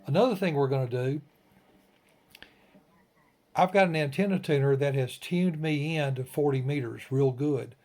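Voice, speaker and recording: A man's voice: a little high, moderate pace, pauses often, darkish tone. A man hosting a radio tutorial in a folksy, plain-spoken way, walking through an informal step-by-step demonstration in short phrases. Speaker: ham radio tutorial host.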